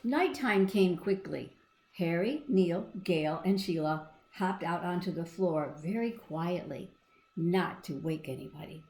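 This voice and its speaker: Lady doing a kids read-aloud, expressive, chats to the little listeners, roomy echo. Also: fairly low pitch, measured pace. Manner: expressive, asking the listener questions. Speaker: storybook reader. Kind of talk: children's read-aloud with asides to young listeners. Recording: echoey room.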